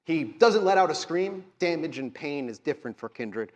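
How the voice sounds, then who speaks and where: A man's voice: a little high, expressive, normal speed, background noise. A man acting as game master at a live show, narrating horror scenes in vivid, suspenseful detail between casual rules talk. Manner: vivid, suspenseful descriptive storytelling mixed with casual asides. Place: live convention show before an audience.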